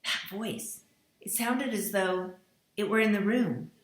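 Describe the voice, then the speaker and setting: A calm, decisive man, as a woman reader voices him. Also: medium pitch, slow, echoey room, natural voice. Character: composed adult man, decisive.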